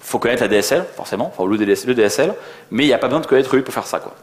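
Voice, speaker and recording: Fast-talking man in an echoey room. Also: mid-range voice, expressive. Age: middle-aged. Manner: joking, self-deprecating, colloquial. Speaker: conference speaker. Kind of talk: informal technical conference talk. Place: indoor conference venue.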